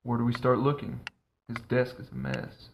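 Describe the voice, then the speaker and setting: A man's voice: medium pitch, big pitch swings, moderate pace, vocal fry, a little hiss or hum. A man playing a greedy, cynical middle-aged uncle in an audio drama, sardonic, impatient and irreverent. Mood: exasperated. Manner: sardonic, dry. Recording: music behind the voice.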